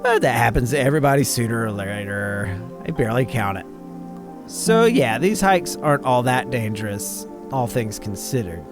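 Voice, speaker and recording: A man's voice: medium pitch, very animated, moderate pace, music in the background. Man voicing a breezy, chatty young woman. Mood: breezy, reassuring. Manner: breezy, rambling, shrugs off danger. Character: chatty, upbeat young woman who hunts cryptids. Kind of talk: reading visual novel dialogue aloud.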